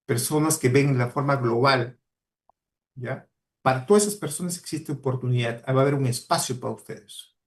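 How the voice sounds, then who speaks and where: A man with a Peruvian Spanish accent giving a motivational talk to students, earnest and exhorting, repeating key words for emphasis. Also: medium pitch. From Peru. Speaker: guest speaker, mining professional.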